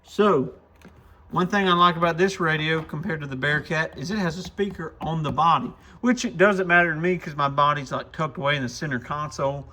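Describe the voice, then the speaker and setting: A man's voice: a little high, a little fast, pushed and bright. A man hosting a casual hands-on product demo, thinking aloud informally as he tinkers. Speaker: radio shop reviewer and video host.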